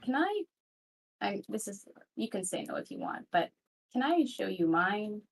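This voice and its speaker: A woman plays a gentle, curious fighter in a casual tabletop roleplay. Her mid-pitched voice has a clear creak, and she talks hesitantly, taking care not to push. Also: big pitch swings, normal speed, rough recording. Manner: hesitant, considerate.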